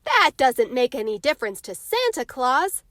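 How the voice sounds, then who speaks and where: A woman's voice: high voice, big pitch swings, normal speed, fairly bright tone, dry recording, studio quality, pitched above the performer's own voice. A woman voicing a confident, eager ten-year-old boy in an emphatic, earnest storybook read-aloud. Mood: confident scoffing. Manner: emphatic, childishly earnest and boastful.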